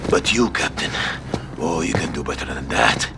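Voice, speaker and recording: An actor playing a mocking, sadistic, defiant prisoner villain in a military game. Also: male voice, very deep voice, animated, moderate pace, gravelly, over music, full character voice. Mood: mocking, menacing. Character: taunting, sadistic male terrorist prisoner. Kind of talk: cinematic game villain acting.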